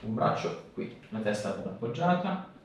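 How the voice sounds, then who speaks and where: A man's voice: mid-range voice, expressive, a little slow. A man in his thirties speaking informally as a chiropractor, guiding a patient with questions and short, repeated commands. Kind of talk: hands-on patient consultation with questions and short instructions. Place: small treatment room indoors. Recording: very echoey small room, distant mic, faint noise.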